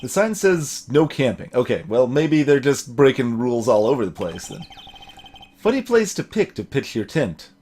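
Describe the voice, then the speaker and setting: A man's voice: medium pitch, very animated, moderate pace, darkish tone. A man streaming a video game, casually commentating and reading dialogue aloud in a rambling, dryly joking way. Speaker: gaming streamer. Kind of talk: let's play commentary with dialogue read aloud. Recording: clear background noise.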